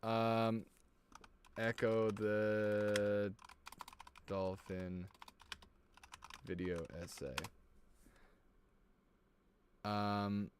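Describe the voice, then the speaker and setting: A man streaming a video game, chatting casually with viewers in an excitable, rambling way and swearing often. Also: on the low side, drawn-out words, pauses often, a little hiss or hum. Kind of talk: casual gameplay commentary. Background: music.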